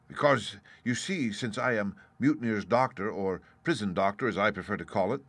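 A man voicing a brisk, educated gentleman doctor with a breezy, dryly mocking manner in an audiobook. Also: lowish voice, no room sound. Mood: pleasant, wry. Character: brisk, cheerful, scolding gentleman ship's doctor.

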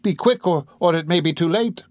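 A man's voice: on the high side, unhurried, no echo, pitched above the performer's own voice, close to an everyday voice. A man voicing an impatient, greedy matron, demanding and urgent, in a storybook reading.

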